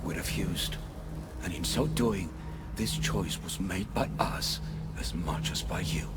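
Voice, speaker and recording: A man playing a weary, solemn ruler of a doomed ancient city in a video game, speaking in a grave, measured, formal and theatrical way. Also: low voice. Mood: weary, resigned. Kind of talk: dramatic video game voice acting. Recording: loud music under the voice.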